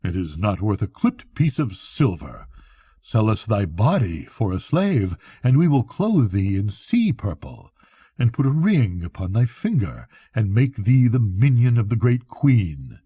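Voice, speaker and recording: A man's voice: on the low side, very animated, unhurried, dark tone, breathy edge, no room sound, heavily acted. A grandfather playing mocking merchants in a bedtime story, with a scornful, declaiming manner. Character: mocking, scornful merchants haggling over a body for slavery.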